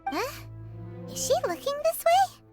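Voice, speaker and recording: Squeaky, very high-pitched childlike fairy. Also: female voice, very animated, unhurried, over music, full character voice. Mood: excited, flustered. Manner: refers to self in third person, exclamatory. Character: small excitable childlike female fairy companion, cheeky. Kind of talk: animated video game voice acting.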